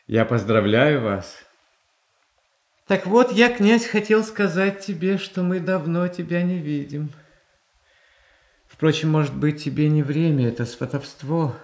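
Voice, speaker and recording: An actor playing a gentle, pious, anxious Russian tsar in a radio drama, speaking haltingly. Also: male voice, fairly high pitch, expressive, reverberant. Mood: gentle, hesitant. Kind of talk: classical Russian stage tragedy acting for radio.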